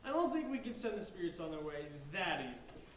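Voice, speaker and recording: A man's voice: high voice, big pitch swings, fast. A man playing an earnest, brainy boy in an amateur staged reading, explaining himself hesitantly. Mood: thoughtful. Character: earnest brainy boy planning to free trapped spirits. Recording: roomy, distant mic, faint noise.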